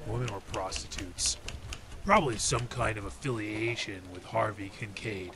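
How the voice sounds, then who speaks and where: An actor playing a hardened veteran detective in an old time radio drama, over a hiss. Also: male voice, lowish voice, normal speed, close to an everyday voice. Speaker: Detective Henry Reeves. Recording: hissy background noise.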